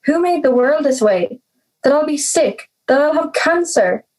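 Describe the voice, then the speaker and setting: A woman's voice: sits high, expressive, unhurried, noisy background. A young woman playing an anxious, reluctant, brooding teenage girl in an audio drama. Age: teenager or young adult. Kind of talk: audio drama monologue and dialogue.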